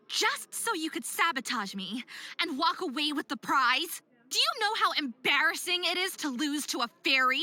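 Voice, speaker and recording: A woman's voice: extremely high pitch, pushed and bright, exaggerated voice. A young woman voicing a hot-headed, distraught young faerie skater in a visual novel game, with a dramatic, emphatic delivery. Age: young adult.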